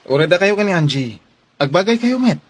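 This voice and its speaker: An actor playing an easygoing young master in a radio drama, casual and coaxing. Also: male voice, sits high, very animated, a little fast. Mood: coaxing, teasing. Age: young adult.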